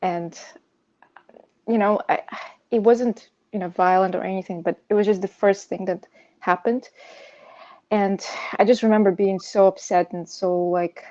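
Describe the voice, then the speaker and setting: Mellow, hesitant woman, native Russian speaker. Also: mid-range voice, steady tone, highs cut off. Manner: hesitant, halting, frequent "you know". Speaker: podcast guest sharing personal insomnia story; pianist. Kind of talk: personal storytelling in conversation.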